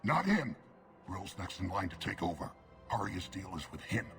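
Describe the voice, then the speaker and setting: An actor playing a gruff, businesslike alien mercenary enforcer in a video game, terse and commanding. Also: male voice, low-pitched, big pitch swings, normal speed, music in the background. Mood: firm.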